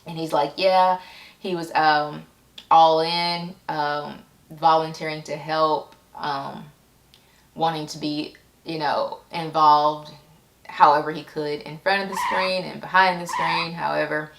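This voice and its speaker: A woman vlogger speaking candidly and informally in a halting way, as a writer talking about her own creative work. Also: low-pitched, vocal fry. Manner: halting, informal, acts out quoted remarks. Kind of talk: candid personal vlog. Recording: echoey room sound.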